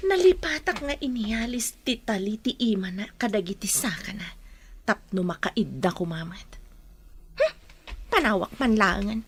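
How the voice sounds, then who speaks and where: A woman's voice: medium pitch, expressive, moderate pace, slight background noise, close to an everyday voice. An actress voicing an irritable, groggy, hungover woman with a Filipino accent in a radio drama. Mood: sleepy, complaining. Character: irritable, hungover female captor, groggy and complaining.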